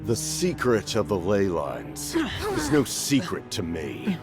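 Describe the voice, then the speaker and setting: A man's voice: lowish voice, wildly swooping pitch, takes it slowly, music in the background, heavily acted. An actor playing a menacing, grandiose male villain in a game, speaking in an ominous, declamatory way. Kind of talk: game voice acting.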